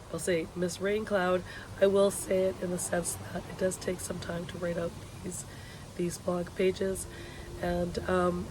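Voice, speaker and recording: A woman with a hoarse, sick voice speaks as an instructor in an informal video blog, giving updates to her students in a hesitant, pausing way. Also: fairly low pitch. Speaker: university education instructor hosting a video blog. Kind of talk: informal course announcements to students. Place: outdoors on a patio. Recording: loud outdoor ambient vehicle noise.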